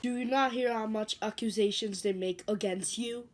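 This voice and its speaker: A woman's voice: fairly high pitch, fairly even intonation, moderate pace, close to an everyday voice. A woman reading the part of a Roman governor who questions a prisoner and crowd, in an amateur church dramatic reading. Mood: questioning.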